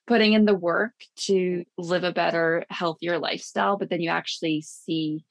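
A woman hosts a podcast, chatting with her guest in an upbeat, encouraging tone. Her voice carries a gravelly, fry-tinged edge. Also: lowish voice, fairly even intonation, normal speed. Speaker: podcast host. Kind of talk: podcast interview.

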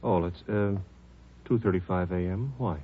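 A man playing a quiet, matter-of-fact hospital doctor with a husky voice in a 1950s radio drama. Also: low-pitched, normal speed, a little hiss or hum, close to an everyday voice. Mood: musing, puzzled. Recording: dull, muffled old radio recording.

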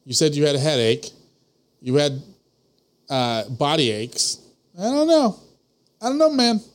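A man's voice: fairly high pitch, very animated, unhurried. A young man co-hosting a podcast in casual banter, full of sarcastic ribbing. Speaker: podcast co-host.

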